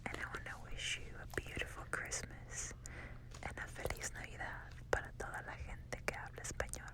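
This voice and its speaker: A woman delivering a soft ASMR greeting to her listeners in a whisper. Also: normal speed. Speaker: ASMR creator giving a holiday greeting.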